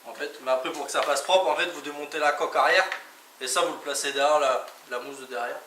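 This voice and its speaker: A man's voice: a little high, fairly even intonation, fast talker, bright, forceful tone. Echoey, distant man giving casual gear tutorial. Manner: informal, talks through each step with little interjections. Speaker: motorcycle vlogger presenting gear. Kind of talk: casual hands-on product tutorial. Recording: echoey, distant mic, clearly noisy background.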